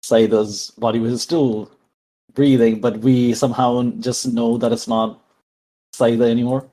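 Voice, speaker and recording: A man with a dark, relaxed tone and medium pitch plays a tabletop game. He improvises what his character does, hesitantly feeling his way through each sentence. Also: measured pace, rough recording. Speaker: tabletop roleplay player. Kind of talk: improvised narration of a character's actions.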